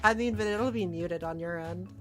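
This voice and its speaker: A woman's voice: fairly low pitch, moderate pace. A woman hosting a casual gaming livestream, chatting and reading aloud from a visual novel. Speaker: streamer, vtuber host. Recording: music playing behind the voice.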